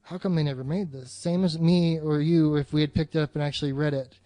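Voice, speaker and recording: Male podcast co-host, slightly high voice, dry close sound, sweary and opinionated film chat, faint music behind. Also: steady tone, fast, warm tone, no echo. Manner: profane, emphatic, opinionated. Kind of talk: casual film discussion.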